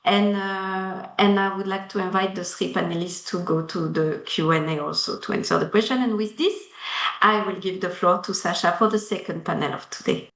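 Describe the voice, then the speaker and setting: A woman's voice: mid-range voice, moderate pace, fairly bright tone. Woman, webinar moderator, hesitant phrasing, echoey room, video call. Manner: hesitant, careful non-native phrasing.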